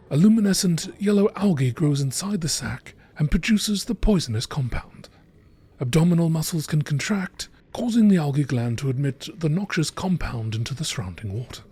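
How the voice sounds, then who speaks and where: A man reading scripted narration in a measured, enunciated nature documentary style, with a husky voice. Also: a little high, darkish tone. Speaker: narrator reading game lore entries.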